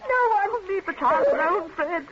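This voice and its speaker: A woman's voice: very high voice, lively intonation, normal speed. An actress playing a protective, anguished middle-aged mother in 1940s radio thriller style. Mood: tearful, protective. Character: protective, tearful mother, soothing and indignant. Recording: noisy muffled 1940s radio recording.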